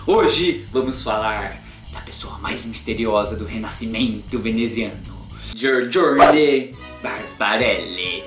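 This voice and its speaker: A man hosting a comedic art history lesson in a theatrical way, jumping between loud sarcastic outbursts and hushed musing. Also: fairly low pitch, echoey room. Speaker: art history channel host. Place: in his room.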